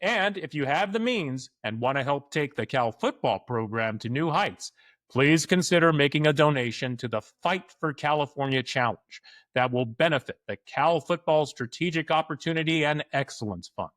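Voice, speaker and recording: A man hosting a sports podcast, reading announcements and introducing a guest in an upbeat, smooth way. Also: on the high side, lively intonation, normal speed, fairly bright tone, dry recording, clean studio sound. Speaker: podcast host. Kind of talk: scripted podcast announcements and interview.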